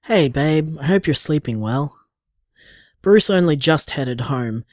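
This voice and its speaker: A devoted partner in an audio drama, leaving intimate voicemails in a mid-pitched voice. The delivery is candid and conversational, with lively swings of pitch, recorded close and dry. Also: slow pace, dry recording. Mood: affectionate, relaxed. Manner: candid, tender, conversational, sighing, mild swearing. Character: affectionate, emotional partner leaving voicemails for lover.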